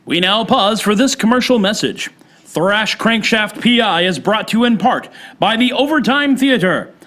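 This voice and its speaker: A man's voice: high voice, lively intonation, moderate pace, bright, forceful tone. A man working as an announcer, reading a sponsor message and introduction for a radio play. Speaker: announcer and writer.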